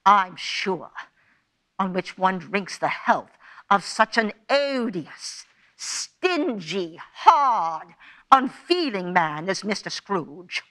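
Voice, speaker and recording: A woman plays a Victorian wife and mother in a radio play, fond but quick to indignation. She speaks deliberately, in a bright, sharp voice with tart emphasis. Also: mid-range voice, sing-song, heavily acted. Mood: indignant.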